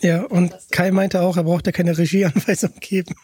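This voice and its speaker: A man's voice: high-pitched, level tone, moderate pace, a little mellow, husky, no room sound. A man hosting a podcast in a casual, conversational style. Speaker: podcast host.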